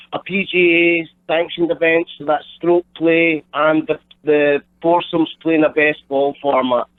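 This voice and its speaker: Scottish charity guest on a phone line, somewhat high voice, explaining carefully. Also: male voice, no echo. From Scotland. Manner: explanatory, repeats words when searching. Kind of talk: radio phone interview.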